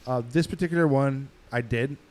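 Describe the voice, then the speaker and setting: A man's voice: medium pitch, a little fast, darkish tone. A man chatting casually in a laid-back, slangy way with plenty of swearing. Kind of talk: casual chat. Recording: room recording with clear background noise.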